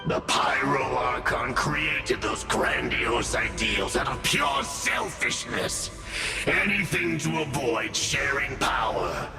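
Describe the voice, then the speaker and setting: Arrogant demon villain in a game, gravelly, swoops up and down, grand taunting speeches, music behind. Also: male voice, medium pitch, big pitch swings, slow pace, full character voice. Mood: scornful taunting. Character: arrogant, contemptuous abyssal monster villain, male. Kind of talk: scripted video game villain acting. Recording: very echoey long reverb, music behind.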